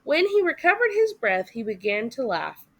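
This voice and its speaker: A woman reading a story aloud as expressive narration for children. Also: sits high, moderate pace. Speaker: story reader.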